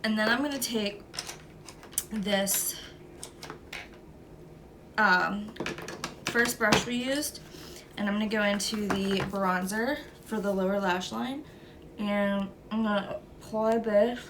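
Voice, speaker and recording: A woman's voice: medium pitch, slow pace, pauses often, background noise. A young woman with a stuffy nose from a cold, talking through a makeup tutorial step by step in an easygoing way. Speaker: beauty vlogger.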